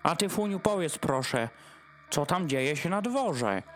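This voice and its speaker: Woman playing a slow-talking, harried male dog. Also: low-pitched, expressive, a little hiss or hum. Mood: concerned, leading. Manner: theatrical rhymed declaiming. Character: harried male household dog, fussy festive host. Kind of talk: rhymed audio drama acting. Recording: music behind the voice.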